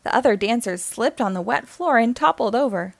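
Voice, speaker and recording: A woman reading a children's storybook aloud in an expressive, animated storytelling style. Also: a little high, brisk, creaky, dry recording. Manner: animated, acting out dialogue.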